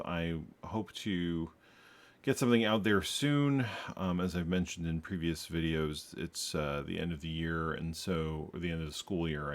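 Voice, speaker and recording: A man hosting a programming tutorial, thinking aloud as he codes, tired, with many ums and uhs. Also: sits low. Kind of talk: casual screen-recorded coding walkthrough.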